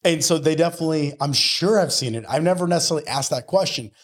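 Higher-voiced earnest man in his forties. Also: quick pace. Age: early 40s. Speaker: podcast guest, mortgage lender and business owner. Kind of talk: conversational interview talk.

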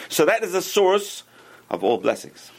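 A man leads a small class around a table, explaining rules slowly and repeating the key points so they sink in. His speaking voice sits a bit higher than most men's. He keeps checking in with his students by asking them questions. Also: big pitch swings, gravelly. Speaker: Torah teacher. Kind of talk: informal class lecture with group discussion.

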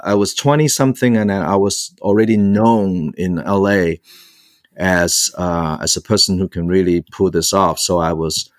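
A man's voice: deep voice, no echo, clean studio sound. A man in his sixties from Hong Kong tells his personal story as an interview guest, hesitating often as he searches for words. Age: 60s. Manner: halting, frequent long hesitations and repeated fillers while searching for words. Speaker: guest, priest and author.

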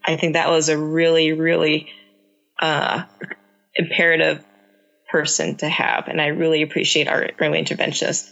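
A woman's voice: sits low, completely flat delivery, normal speed, gravelly, a bit bright, lo-fi sound. A woman answering interview questions about raising her young child, speaking earnestly and reflectively with frequent restarts, her first language Cantonese. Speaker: parent guest.

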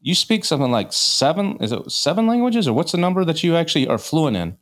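A man's voice: fairly high pitch, sing-song, quick pace. A man hosting a podcast interview, asking long, rambling questions and often repeating or rephrasing them. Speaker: podcast host. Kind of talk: interview questions, casual chat.

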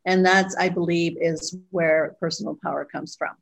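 Reflective middle-aged woman, lowish voice. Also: fairly even intonation, creaky. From Costa Rica, raised abroad. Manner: reflective, pausing with fillers. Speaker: guest, retreat owner. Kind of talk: interview.

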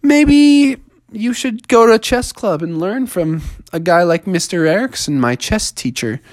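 A man voicing an excitable, easygoing young male bear who talks in surfer slang and exclaims a lot. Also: mid-range voice, a little slow, dry recording, voice pushed up, natural voice. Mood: excited.

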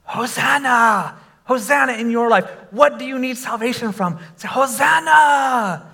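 A man preaching a sermon to a congregation, conversational with fillers, and breaking into animated shouts when he exclaims. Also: very high voice, a little slow, creaky. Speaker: pastor.

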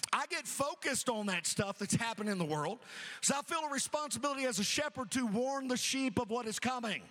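A middle-aged man preaching an energetic sermon, repeating phrases rhythmically and calling on the congregation to answer. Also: very high voice, in a large hall, low-quality recording. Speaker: pastor. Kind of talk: energetic sermon with call-and-response.

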